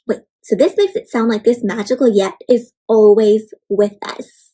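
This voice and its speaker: A high-voiced woman reads a picture book aloud for children. She tells it expressively, with exaggerated gasps, and often turns to her young listeners with direct questions. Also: a little slow, mellow, low-quality recording. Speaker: children's read-aloud host.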